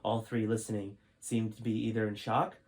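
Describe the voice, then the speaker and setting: A man's voice: lowish voice, level tone, normal speed. Man reading his own novel aloud. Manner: plain reading with occasional slips and restarts. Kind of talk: storybook narration.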